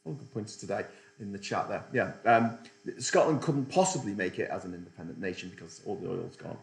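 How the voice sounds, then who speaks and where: A man's voice: on the low side, a little fast, creaky, reverberant, rough recording. A middle-aged man hosting a political livestream, rambling sardonically and reading out chat comments. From Britain.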